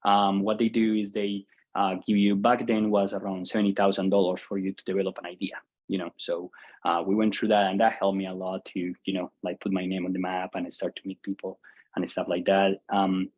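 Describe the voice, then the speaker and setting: A man's voice: low-pitched, level tone, a little fast, a little mellow, dull audio. A man in his thirties from Colombia with a Colombian Spanish accent, answering interview questions casually with many fillers and restarts. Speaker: guest, software entrepreneur.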